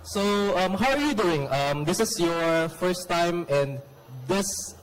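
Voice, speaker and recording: High-voiced teenage boy host. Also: animated, takes it slowly, poor audio. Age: teens. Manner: upbeat, rehearsed, uses fillers when asking. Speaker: student host. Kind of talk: scripted show hosting. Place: school hall.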